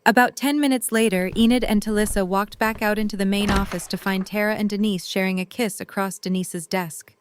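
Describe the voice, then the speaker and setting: A woman's voice: medium pitch, fairly even intonation, a little fast, few pauses, slight background noise. A woman reading scripted story narration as the narrator of a drama.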